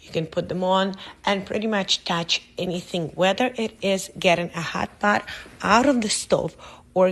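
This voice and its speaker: A woman reads a scripted product video in a steady ad tone. Her voice sits low and has a gravelly edge.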